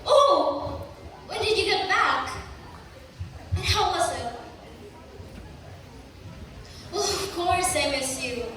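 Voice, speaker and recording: A woman's voice: very high voice, lively intonation, slow pace, a bit bright, heavily acted. A teenage girl acting an outspoken, argumentative young daughter in a school play. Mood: chatty. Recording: echoey hall from far off, noisy.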